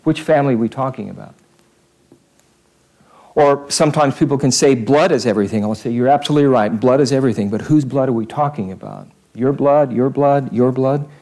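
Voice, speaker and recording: A man's voice: mid-range voice, fairly quick, darkish tone. A male pastor giving a conversational sermon in church, repeating phrases for emphasis.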